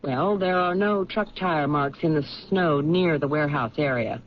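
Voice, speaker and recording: An actress playing a sharp, persistent police lieutenant in a 1970s radio drama, questioning in a matter-of-fact way. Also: female voice, low-pitched, moderate pace, slight background noise, natural voice. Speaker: detective lieutenant. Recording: dull lo-fi 1970s radio recording.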